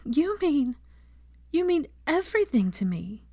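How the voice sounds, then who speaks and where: A woman voicing an earnest, emotional young woman in a dramatic audiobook, high-strung and vulnerable. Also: big pitch swings, unhurried, dark tone, exaggerated voice. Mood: upset, insistent. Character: emotional young woman, outraged yet tender and remorseful. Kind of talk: dramatic audiobook character acting.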